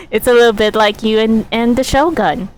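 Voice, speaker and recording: Slow, halting woman streaming a game. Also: on the high side, very animated, poor audio. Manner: halting, thinking aloud. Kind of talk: casual live gameplay commentary.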